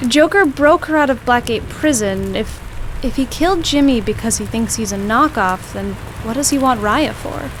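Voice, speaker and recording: A woman's voice: on the high side, lively intonation, moderate pace, a little hiss or hum, natural voice, poor audio. A woman playing an anxious, insistent circus colleague in a radio drama, with a worried, pressing manner.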